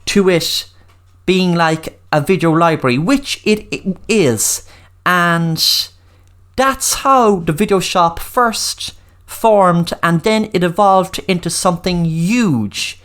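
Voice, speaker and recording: A woman with an Irish accent hosting a solo podcast, speaking emphatically and repeating words for stress. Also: mid-range voice. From Ireland. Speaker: podcast host.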